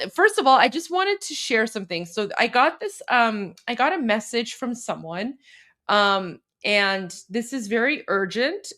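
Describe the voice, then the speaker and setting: A woman's voice: medium pitch, a bit bright. A woman hosting a casual livestream, chatty and full of fillers, Persian her mother tongue but speaking fluent English. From Canada. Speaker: livestream host.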